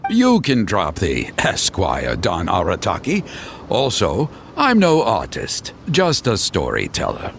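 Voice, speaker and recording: Theatrical, gravelly street storyteller. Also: male voice, on the low side, huge pitch swings, slow, a bit bright, a little hiss or hum, full character voice. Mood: courteous. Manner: courteous, eloquent storyteller's delivery. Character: genial, theatrical street storyteller, courteous and humble man. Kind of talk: video game voice acting.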